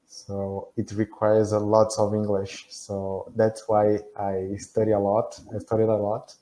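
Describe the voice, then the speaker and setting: Brazilian-accented man, low dark voice, careful halting english, video call. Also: completely flat delivery, poor audio. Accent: Brazilian Portuguese. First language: Portuguese. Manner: careful, halting, with frequent restarts and soft laughs. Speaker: language student. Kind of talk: casual video call chat.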